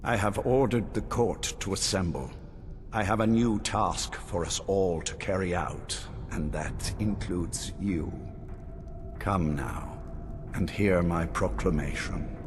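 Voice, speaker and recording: An actor playing an ancient, imperious vampire lord in a fantasy video game, speaking in grand, solemn proclamations. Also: male voice, low-pitched, takes it slowly, noisy background. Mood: solemn, commanding. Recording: music under the voice.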